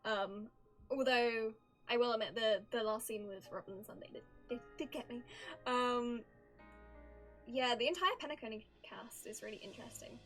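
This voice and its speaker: A woman's voice: fairly high pitch, normal speed, rough recording. A woman livestreamer giving casual, chatty game reaction commentary, thinking aloud as she goes. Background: soft game music.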